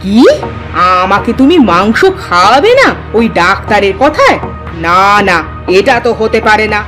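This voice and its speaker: A woman's voice: mid-range voice, sing-song, moderate pace, fairly bright tone, faint music behind. A woman voicing a demanding, petulant young village wife in a cartoon story, insistent and scolding. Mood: petulant, threatening. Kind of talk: Bengali cartoon story voice acting.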